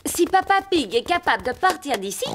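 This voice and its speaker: A woman voicing an encouraging gazelle schoolteacher in a children's cartoon, giving clear instructions. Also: sits high, big pitch swings, brisk, a bit bright, slight background noise. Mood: instructive.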